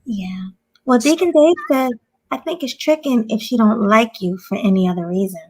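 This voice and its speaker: A woman hosting a relaxed podcast conversation, her voice a little higher than most. She mostly answers in quick, short replies and puts questions to her guest. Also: breathy edge, poor audio. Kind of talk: casual podcast chat.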